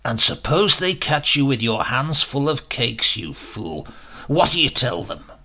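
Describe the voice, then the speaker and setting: A scornful male robber played by a narrating man gives curt orders in a storybook crime tale. The voice is gravelly and rough, bossy and commanding, with sharply swinging pitch. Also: sing-song, unhurried, bright, forceful tone, heavily acted. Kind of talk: acted dialogue in storybook reading.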